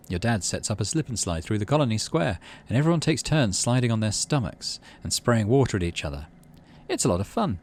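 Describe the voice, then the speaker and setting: A man narrates and comments on a video game. His mid-pitched voice moves around in lively fashion, dropping into thinking-aloud mutters, with faint noise behind it. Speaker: gaming channel host. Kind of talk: let's play commentary and read-aloud narration.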